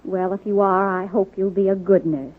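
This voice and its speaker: Actress as dignified nursing superintendent, tinny vintage radio. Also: female voice, mid-range voice, fairly even intonation, close to an everyday voice. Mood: measured, instructive. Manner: measured, formal, deliberate. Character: dignified, kindly but exacting female superintendent of nurses. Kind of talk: 1940s radio drama acting. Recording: muffled phone-like vintage radio recording.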